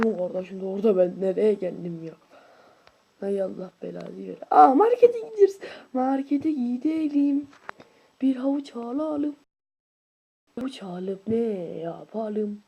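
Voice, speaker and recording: A woman commenting playfully on a video game, swinging from whispered asides to excited squeals and grumbling at it. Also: mid-range voice, a little slow, gravelly, dull audio, slight background noise. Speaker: gaming YouTuber. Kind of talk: casual let's-play commentary.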